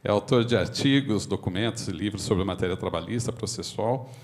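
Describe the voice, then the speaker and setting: A Brazilian man with a fairly low voice hosts the session. He introduces the guest speaker in a friendly yet formal tone, reading out the guest's credentials. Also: brisk. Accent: Brazilian Portuguese. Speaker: event host introducing a speaker.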